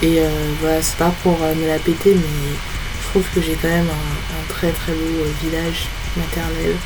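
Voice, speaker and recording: A young woman from France narrates a travel vlog in a casual way. Her voice sits low and moves little in pitch. She pauses often to find her words, and music plays clearly behind her. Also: flat delivery, low-quality recording. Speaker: vlogger. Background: background music.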